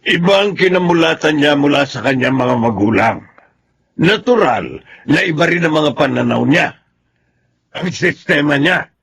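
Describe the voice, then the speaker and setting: A man's voice: a little high, fairly bright tone, full character voice. An actor playing a disapproving, class-conscious Filipino father in a radio drama, lecturing emphatically with a Filipino accent.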